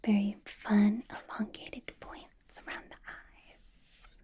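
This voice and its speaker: A woman voicing a possessive, doting, commanding ancient vampire boss in an ASMR roleplay, in a breathy whisper with coaxing hums. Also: mid-range voice, level tone, slow pace, warm tone, close to an everyday voice.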